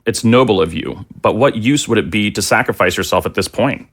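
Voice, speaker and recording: A man's voice: lowish voice, a little fast. An actor playing an earnest, conciliatory, anxious father in an audio drama, polite and hesitant. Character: earnest, conciliatory, anxious father, middle-aged or older man. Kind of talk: naturalistic audio drama acting.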